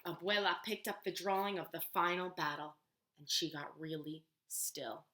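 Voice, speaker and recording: A woman teaching preschool, reading a picture book aloud with expressive, animated storytelling and pausing to ask the children questions. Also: lowish voice. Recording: echoey room.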